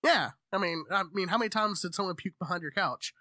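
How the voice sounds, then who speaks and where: A man's voice: high-pitched, fast talker, sharp tone, no room sound, studio quality. A man in his thirties, a podcast co-host chatting casually with brash, profane, mock-indignant banter. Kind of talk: casual joking group chat.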